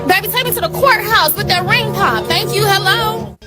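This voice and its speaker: A woman's voice: very high voice, normal speed, loud music behind the voice, a loud crowd. A woman being interviewed, chatting casually in a sassy, frank and emphatic way. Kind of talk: casual street-interview chat.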